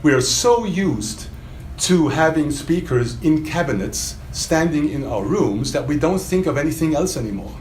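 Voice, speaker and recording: A man's voice: fairly high pitch, lively intonation, normal speed, hardly any pauses, a little mellow. A man giving a spontaneous, emphatic product demonstration to a small audience, quizzing his listeners with rhetorical questions. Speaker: product demonstrator for a loudspeaker maker. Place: indoor hotel demo room. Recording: roomy trade show demo, faint background noise.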